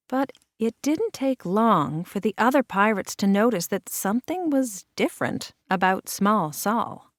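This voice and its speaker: Animated, unhurried woman reading a storybook. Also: mid-range voice, no echo, clean studio sound. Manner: measured, deliberate phrasing with dramatic pauses. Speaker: children's storybook reader. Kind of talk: expressive read-aloud narration of a picture book.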